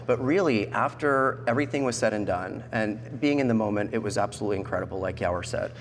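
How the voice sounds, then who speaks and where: A man's voice: fairly low pitch, fast talker. A man with an American accent telling personal stories as an interview guest. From central Pennsylvania, United States. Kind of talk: personal storytelling in a talk show interview. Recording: echoey hall, background noise.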